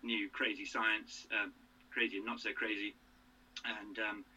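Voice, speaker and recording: A man in his sixties from England, a guest in a casual interview, who talks haltingly with many fillers and restarts. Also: fairly low pitch, level tone, sharp tone, slight background noise, rough recording.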